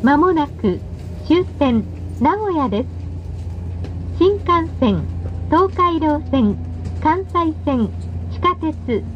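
High, slow female train announcer, dull PA. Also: sing-song. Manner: polite, measured, formal. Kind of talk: pre-recorded station arrival announcement. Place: played inside a train car. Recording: dull train PA, faint running noise. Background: faint train noise.

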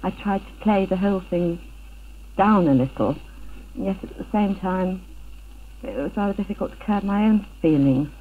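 A woman's voice: mid-range voice, normal speed. A middle-aged woman giving a personal account in an interview, recorded in a living room. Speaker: interviewee, burglary victim. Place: living room at home, indoors. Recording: tinny phone-like 1970s film sound, noisy.

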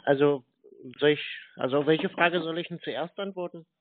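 A man chatting casually on the phone as a prank caller, with a deadpan, naive-sounding manner. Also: fairly high pitch, normal speed. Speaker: host, scam-baiting prank caller. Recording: noisy narrow phone-like sound with clicks.

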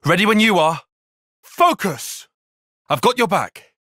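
A man's voice: high-pitched, animated, measured pace, sharp tone, no room sound, clean studio sound, heavily acted. An actor playing a brainy young male tactician in a video game, giving clipped, commanding battle call-outs with composed intensity. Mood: composed, focused.

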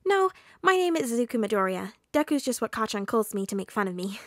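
A woman's voice: on the high side, sing-song, fast talker, dry recording, studio quality. A woman voicing a cheeky teenage boy in an audiobook story, speaking with a teasing, put-on sweetness. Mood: sweetly teasing.

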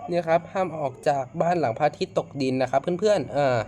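A man hosting a casual reaction video, politely addressing viewers as friends in a halting, repetitive way with frequent exclamations. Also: a little high. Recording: noisy amateur home recording.

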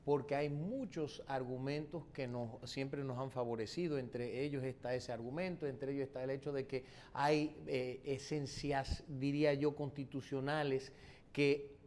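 A middle-aged man as a guest on a TV studio interview, answering in a measured, formal, explanatory way on a lapel mic. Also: medium pitch, steady tone, darkish tone, slight background noise. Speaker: political guest.

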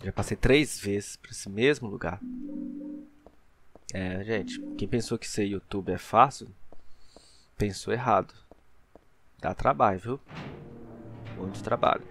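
A man's voice: lowish voice, measured pace, pauses often, fairly bright tone, soft background music. A young man with a Brazilian Portuguese accent, giving casual let's play commentary on a headset mic at home. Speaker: gaming YouTuber.

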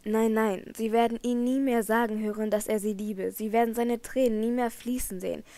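A woman's voice: on the high side, steady tone, normal speed, dark tone, dry recording, lightly acted. A woman reading a storybook, voicing an earnest, protective young man who pleads urgently with his beloved.

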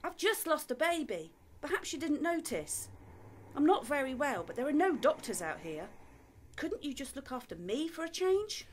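A woman's voice: high-pitched, sing-song, moderate pace, a little hiss or hum. A woman playing a weary, exasperated, sarcastic wife in a radio play. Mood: weary, aggrieved. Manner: exasperated, sardonic.

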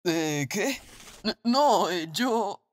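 A man's voice: high-pitched, animated, drawn-out words, heavily acted. A man with a Latin American accent playing a timid, stammering teenage gamer in a fan-dubbed webtoon. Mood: panicked, stammering. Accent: Latin American Spanish. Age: young adult. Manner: stammering, breathless, hesitant. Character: timid, panicky teenage boy, a reclusive gamer. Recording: faint background noise.

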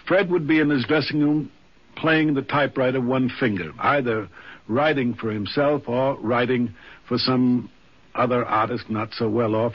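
Husky man on vintage radio. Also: medium pitch. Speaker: interviewee paying tribute to a friend. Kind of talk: spoken tribute. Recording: dull, band-limited 1950s radio recording.

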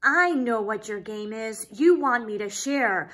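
A woman voicing a greedy, selfish male pug dog in a storybook, shrill, huffy and possessive. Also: expressive, unhurried, a bit bright. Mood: furious.

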